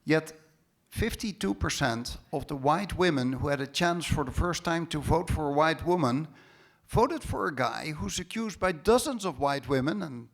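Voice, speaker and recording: A man's voice: fairly high pitch, expressive, moderate pace. A man from the Netherlands with a Dutch accent, speaking as a panelist at a conference with emphatic, sarcastic remarks. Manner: sarcastic, emphatic, with ironic mock quotes. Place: conference hall stage.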